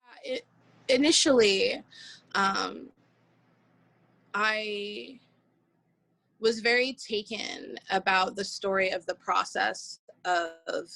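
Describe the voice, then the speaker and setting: A woman speaks slowly and thoughtfully, pausing to find her words. Her voice is fairly low but bright and forward, recorded very dry, in a reflective interview style. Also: creaky, dry recording. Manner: thoughtful, halting, searching for words. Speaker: stage actor in an audio play.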